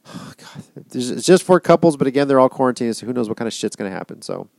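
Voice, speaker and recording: A man's voice: medium pitch, animated, quick pace, creaky, darkish tone, no room sound. A man hosting a podcast in a casual, chatty style. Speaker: podcast host.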